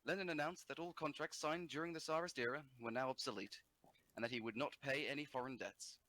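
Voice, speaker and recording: A man's voice: medium pitch, quick pace, sharp tone. A man reading scripted history narration as a narrator, sometimes stumbling over words.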